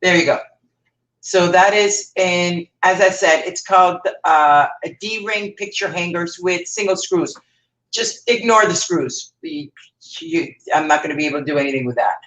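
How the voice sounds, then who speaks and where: Canadian lady chatting thru a craft demo, lowish voice, kinda rambly. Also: normal speed, a bit bright, poor audio. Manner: rambling, self-correcting while working. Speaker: craft live stream host. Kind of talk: casual craft demo chat.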